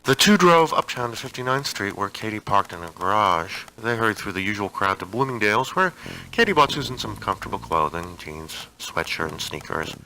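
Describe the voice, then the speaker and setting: A man's voice: lowish voice, expressive, a little fast, sharp tone, a little hiss or hum, low-quality recording. A man reading a piece of fiction aloud as a narrator, in a scripted audiobook style.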